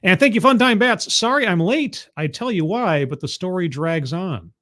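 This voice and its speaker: A man's voice: high-pitched, animated, moderate pace, bright, forceful tone. A man commenting live on a video he is watching, making wry, skeptical asides and thanking viewers in the chat. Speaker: streamer reacting to a video. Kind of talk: casual live-stream commentary.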